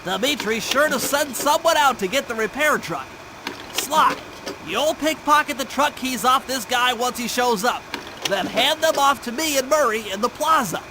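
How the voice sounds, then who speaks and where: A man's voice: very high-pitched, normal speed, background noise. A man voicing a nerdy, brainy mission planner in a video game, briefing in a precise, pedantic way. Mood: focused, instructive. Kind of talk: video game cutscene acting. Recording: background music.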